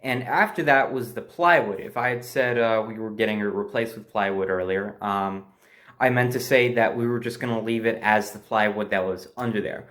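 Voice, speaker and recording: A man's voice: fairly low pitch, normal speed, a bit bright. A man telling a personal story in a casual, unscripted way, hesitating and correcting himself as he recalls details.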